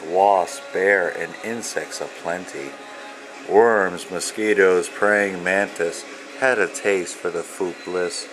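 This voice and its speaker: Male author-reader for a children's picture book, lower register, very unhurried, measured storytelling delivery with pauses. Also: a bit bright, rough recording. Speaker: author and illustrator reading own children's book. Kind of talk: read-aloud storytelling of rhyming children's book.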